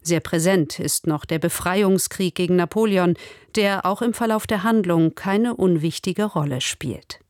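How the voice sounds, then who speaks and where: A woman radio presenter reading a scripted literary introduction in a measured, formal manner. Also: on the low side, a little mellow, no echo, clean studio sound.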